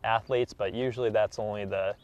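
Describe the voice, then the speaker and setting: A man's voice: lowish voice, fairly even intonation, a little fast, muffled recording. A young American man in his twenties, an athlete giving a casual interview in an earnest, modest way. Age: 20s. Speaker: track athlete being interviewed.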